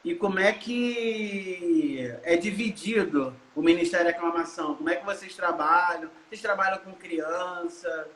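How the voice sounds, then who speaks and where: A woman hosting a casual interview over a video call, friendly and quick with exclamations. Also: fairly low pitch, fairly bright tone. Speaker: host. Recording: hissy, echoey room.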